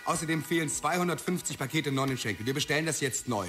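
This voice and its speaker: A male actor as an officious administrator in a radio play. His voice is fairly high, precise and bureaucratic, with music behind it. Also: fast talker, lightly acted. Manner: bureaucratic, exact. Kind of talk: audio drama acting.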